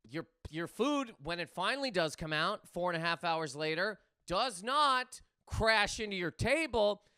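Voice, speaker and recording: A man's voice: sits high, sharp tone, clean tone, no room sound, clean studio sound. A man doing an exasperated, incredulous comedic rant to camera, emphatic and often stammering over repeated words. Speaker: comedian and host.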